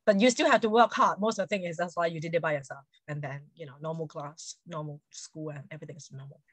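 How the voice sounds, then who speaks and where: Low-voiced, chatty Hong Kong woman. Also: steady tone, quick pace, dry recording. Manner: chatty, frequent "you know". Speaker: interview guest, Montessori educator. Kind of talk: casual interview answers. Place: indoor room at home, video call.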